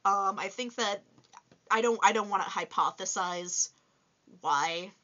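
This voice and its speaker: A woman in her twenties with a low voice, chatting casually and upbeat in a vlog, with many fillers. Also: unhurried, bright, forceful tone, highs cut off. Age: 20s. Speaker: toy reviewer and vlogger. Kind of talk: casual vlog answering viewer questions. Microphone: voice-over with face unseen.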